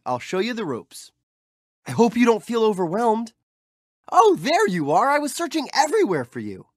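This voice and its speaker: An actor playing a cheerful, street-smart young hero who became a prince, speaking friendly, playful video game lines. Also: male voice, high-pitched, no echo, clean studio sound, full character voice. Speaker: game character voice clips.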